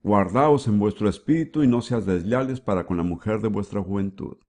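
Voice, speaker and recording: A man giving the voice-over for a sermon, with a measured preaching delivery and frequent rhetorical questions. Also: fairly low pitch, darkish tone. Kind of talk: dubbed sermon.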